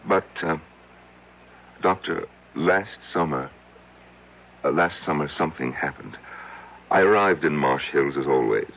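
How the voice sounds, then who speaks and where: Over a tinny old radio broadcast comes a self-loathing, betrayed husband in middle age. His voice is extremely deep and full of gravel, and he confesses in hesitant, repeated fragments. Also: lively intonation, full character voice. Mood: wistful, earnest. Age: middle-aged. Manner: halting, hesitant, repeating himself. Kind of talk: radio drama acting.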